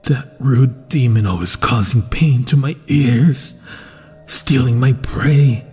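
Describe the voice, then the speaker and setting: A man voicing a possessive, predatory werewolf in an ASMR fantasy roleplay, speaking in a breathy, often whispered voice with a menacing, coaxing manner. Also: medium pitch, darkish tone, in a large hall, soft background music, natural voice. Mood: pained, alarmed. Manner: slow, hungry, menacing coaxing. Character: predatory, possessive male werewolf hunting its human prey.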